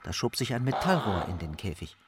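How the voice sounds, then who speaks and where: A man's voice: lowish voice, sing-song, brisk. A man narrating a crime story from a script, as in a radio drama. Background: music.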